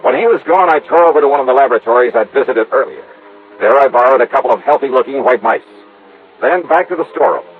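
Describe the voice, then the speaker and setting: An actor playing a shrewd, probing insurance investigator with a clipped hard-boiled delivery, in old-time radio detective style. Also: male voice, medium pitch, lightly acted. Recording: narrow, noisy vintage radio recording.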